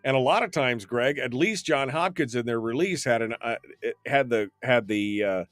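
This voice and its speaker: Opinionated man hosting talk radio, mid-pitched, rambles with ums, dry studio sound. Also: normal speed, a bit bright, no room sound. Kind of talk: casual radio talk, reading listener comments.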